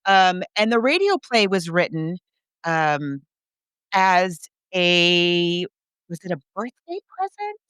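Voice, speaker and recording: Smooth, lower-pitched woman chatting on a podcast. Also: very animated, takes it slowly, a bit bright, no room sound. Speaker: podcast co-host. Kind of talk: casual podcast discussion.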